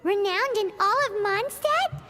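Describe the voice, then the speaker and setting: A woman voicing a tiny, childlike fairy companion in a video game, squeaky, excitable and blunt. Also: measured pace. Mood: amazed, excited. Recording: loud game music behind the voice. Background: loud game music.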